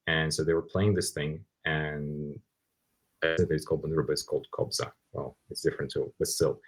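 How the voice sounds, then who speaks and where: A man's voice: extremely low pitch, little pitch movement, brisk. A man from Ukraine, a native Russian speaker with a Ukrainian accent, explaining things conversationally as an interview guest and often rephrasing as he goes. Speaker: podcast guest.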